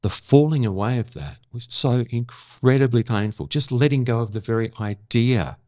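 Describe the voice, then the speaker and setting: A seventy-year-old Australian man talking reflectively as an interview guest, thoughtful and halting, repeating words for emphasis. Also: medium pitch, dark tone, no echo, clean studio sound. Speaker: interview guest, former journalist and actor.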